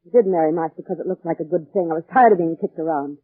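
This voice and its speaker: A woman's voice: sits low, racing, dry recording. A woman playing an earnest, world-weary, protective wife with a pleading intensity, in the style of 1940s radio drama. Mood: bitter, pained. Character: beautiful, worried, world-weary wife, bitter yet protective. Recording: tinny, band-limited 1940s radio recording.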